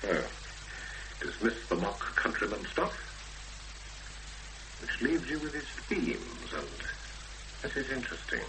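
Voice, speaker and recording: A man's voice: medium pitch, very animated, unhurried, pauses often. An actor in a 1970s radio drama playing a self-assured, analytical literary man who hesitates as he thinks aloud. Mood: musing, engaged. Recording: noisy hummy 1970s radio broadcast recording.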